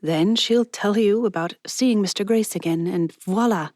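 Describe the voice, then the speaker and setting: A woman's voice: mid-range voice, normal speed, darkish tone, no echo, clean studio sound, voice pushed up, natural voice. A woman voicing Ezra, a confident, charming friend who chats breezily and slips in French words. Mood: breezy. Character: bold, chatty woman friend, nosy and charming.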